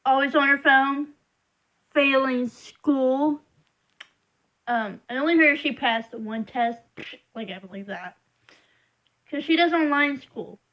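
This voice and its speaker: Young woman, high voice, slow unhurried delivery, frustrated rambling vlogger. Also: lo-fi sound. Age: young adult. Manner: frustrated, rambling, emphatic complaining. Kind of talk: casual venting monologue.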